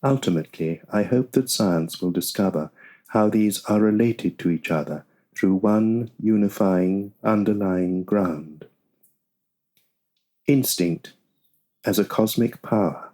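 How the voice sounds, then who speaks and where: A man reading a nonfiction audiobook in a measured, deliberate way. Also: lowish voice, dark tone. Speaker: audiobook narrator. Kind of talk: scripted nonfiction audiobook narration.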